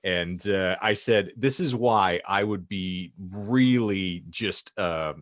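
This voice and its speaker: A man's voice: deep voice, slow, no room sound, studio quality. A man co-hosting a casual comedy podcast, talking in wordy, mock-grandiose phrases. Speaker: podcast co-host.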